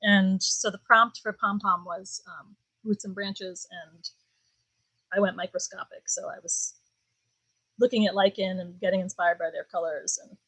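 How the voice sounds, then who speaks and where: A woman who owns a shop and designs knitwear, talking casually as a guest, relaxed and animated with many "like"s and "um"s. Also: mid-range voice, a little slow, no echo, rough recording. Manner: relaxed, animated, laughs easily, says "like" and "um". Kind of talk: casual conversational interview.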